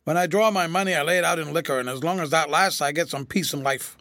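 A man voicing a whining, cringing old ex-soldier turned tramp, rough-spoken and slyly malicious, in a storybook reading. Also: on the high side, quick pace, bright, forceful tone, no echo. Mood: gruff, sardonic. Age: older. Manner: wheedling, rough colloquial speech.

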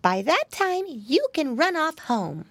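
A woman voicing a shrewd, bossy little mouse in a children's storybook, giving brisk instructions. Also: sits high, big pitch swings, no room sound, voice pushed up, exaggerated voice. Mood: instructive.

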